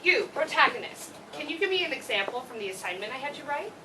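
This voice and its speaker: Lady playing a super gushy quirky teacher in a play reading, highish voice, mic far off. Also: very animated, quick pace. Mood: instructive. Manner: effusive, gushing. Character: quirky, overenthusiastic woman playwriting teacher. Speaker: actor in a staged play reading. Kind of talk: live theatre play reading. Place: read before a live audience, far camera. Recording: noisy, distant room recording.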